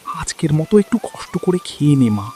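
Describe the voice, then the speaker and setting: A man playing a weary, gentle village father in a cartoon story, lamenting his poverty in a plaintive way. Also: on the high side, expressive, brisk, noisy background, natural voice. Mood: tender. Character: weary, gentle, self-pitying poor village labourer father. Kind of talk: dramatized cartoon story acting.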